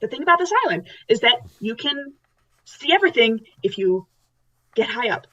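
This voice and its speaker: A woman's voice: on the high side, very animated, moderate pace, pushed and bright, lo-fi sound, slight background noise. A woman playing a rambling, insistent survivor on a dinosaur island in a tabletop roleplaying game, repeating herself as she tells stories. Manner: repetitive, rambling storyteller.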